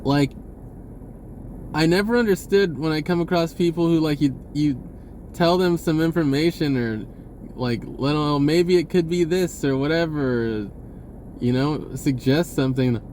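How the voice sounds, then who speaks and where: A man's voice: on the high side, measured pace, darkish tone, slight background noise. A man talking in a casual, rambling, informal monologue with folksy wording, often correcting himself as a spiritual vlogger.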